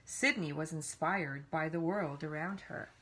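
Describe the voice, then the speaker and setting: A woman reads a children's storybook aloud in an animated way, leaning on key words with dramatic emphasis. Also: low voice, unhurried, rough recording. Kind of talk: expressive read-aloud storytelling.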